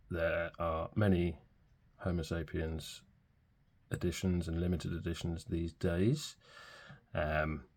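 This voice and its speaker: A man reviewing a fountain pen in a relaxed, hesitant way with many fillers, sounding stuffy from a cold. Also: low voice. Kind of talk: casual product review.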